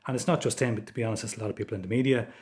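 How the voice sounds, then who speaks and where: An Irish man with an Irish accent giving informal political commentary as a host, in a measured, explanatory way. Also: fairly low pitch, steady tone, darkish tone. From Ireland.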